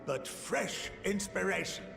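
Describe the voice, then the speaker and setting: Sardonic video game scholar: slow, theatrical, wry, with loud music behind. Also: male voice, sits high, lively intonation, creaky, exaggerated voice. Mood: earnest approval. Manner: theatrical, drawn-out, wry delivery. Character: gleefully sarcastic, theatrical male elder scholar. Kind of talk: scripted video game voice acting. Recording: reverberant game audio under loud music.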